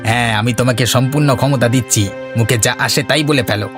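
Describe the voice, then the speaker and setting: A man's voice: mid-range voice, fast, music in the background, lightly acted. A man voicing a greedy, scheming village husband in a cartoon, bossy and insistent as he plots against neighbours. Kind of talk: cartoon voice acting.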